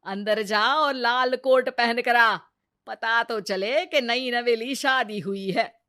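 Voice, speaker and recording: A domineering older mother-in-law from a cartoon family tale, acted by a woman. She speaks high and very slowly, stretching her taunts with theatrical sarcasm and scolding exclamations. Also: bright, forceful tone. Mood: sarcastic, nagging. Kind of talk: dramatised cartoon family story.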